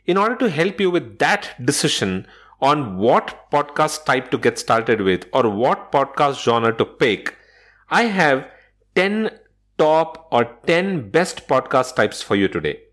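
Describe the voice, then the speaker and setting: A man's voice: mid-range voice, fairly bright tone. A man presenting a scripted solo podcast as its host, speaking in an instructional way directly to listeners.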